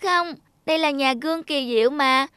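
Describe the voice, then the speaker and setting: A woman's voice: sits high, normal speed, bright, forceful tone, dry recording. A woman voicing an earnest, childlike little girl in a cartoon drama. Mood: tearful pleading. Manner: childlike, plaintive.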